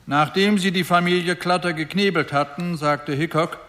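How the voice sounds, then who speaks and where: An actor playing a sober investigator giving deliberate, factual testimony in a courtroom radio drama. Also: male voice, high-pitched, steady tone, bright, forceful tone. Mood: grave, factual. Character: sober male investigator testifying as prosecution witness.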